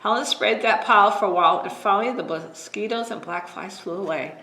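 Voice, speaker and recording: Bright-voiced woman reading bedtime stories. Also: medium pitch, a little fast. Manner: gentle expressive read-aloud. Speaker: librarian storyteller. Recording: roomy echo.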